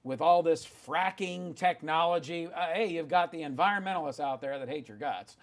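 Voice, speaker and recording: Brisk semi-retired male talk radio host. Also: a little high. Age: older. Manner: brisk, pushes guest with time limits.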